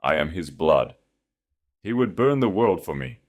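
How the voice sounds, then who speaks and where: A man voicing a desperate, broken noblewoman prisoner in an audiobook drama, frantic and defiant, with a cracked, croaky voice. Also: low-pitched, very animated, a little slow. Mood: desperate denial.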